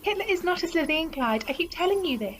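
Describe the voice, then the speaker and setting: A woman playing a sensible, slightly exasperated teenage schoolgirl in an audio drama, brisk and corrective. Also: very high-pitched, animated, fairly bright tone, a little hiss or hum.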